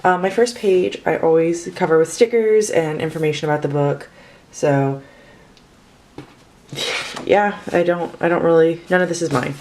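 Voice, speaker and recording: Low-voiced sick young woman chatting. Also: moderate pace, long pauses, darkish tone, a little hiss or hum. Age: 20s. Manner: chatty, rambling, self-correcting. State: sick, voice sounds off. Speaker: artist vlogger. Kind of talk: casual sketchbook flip-through.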